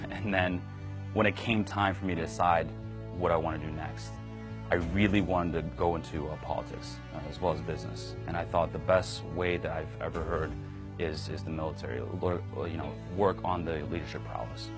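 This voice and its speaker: A young man from Kazakhstan, a Marine sergeant, talking earnestly and conversationally in an interview, with lots of fillers and restarts. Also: a bass voice. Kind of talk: recruiting interview. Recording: music bed under the voice.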